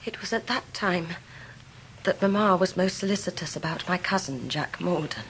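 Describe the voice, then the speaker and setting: An actress playing a devoted, dignified young Victorian wife in a period radio drama, fervent and formal in delivery. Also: female voice, on the low side, moderate pace, slight background noise, lightly acted, low-quality recording. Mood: fervent, sincere.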